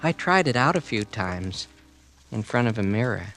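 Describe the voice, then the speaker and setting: A brooding, resentful young man tells his own story in a hushed, sullen voice. It comes from a vintage radio thriller with faint music beneath. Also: fairly low pitch, sing-song, moderate pace. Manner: quiet, sullen first-person narration. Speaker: narrator and lead. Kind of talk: 1940s radio acting.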